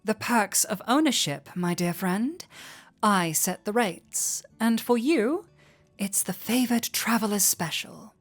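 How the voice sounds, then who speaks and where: A woman playing a flirty, warm-hearted tavern innkeeper in an intimate roleplay audio drama, teasing coyly and playfully. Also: mid-range voice, animated, soft background music. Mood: playful, insistent. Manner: coy, playful, unhurried teasing.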